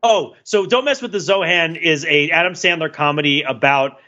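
A man co-hosting a podcast, talking loosely and circling back on himself with plenty of "like" and "right?". His voice is bright and forceful and sits a little high. The audio is slightly dull and lo-fi. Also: normal speed. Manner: rambling, many fillers and self-corrections. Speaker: podcast co-host. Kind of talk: casual podcast discussion.

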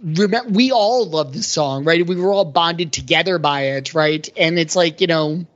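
A woman's voice: on the low side, normal speed, lo-fi sound. A woman on a podcast panel, talking through ideas in casual analytical conversation.

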